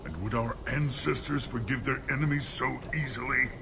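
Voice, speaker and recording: An actor playing a gruff, proud, warlike alien clan chief in a video game, with a gravelly, menacing voice. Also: male voice, medium pitch, moderate pace, noisy background, close to an everyday voice. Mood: brooding, defiant. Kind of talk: video game character acting.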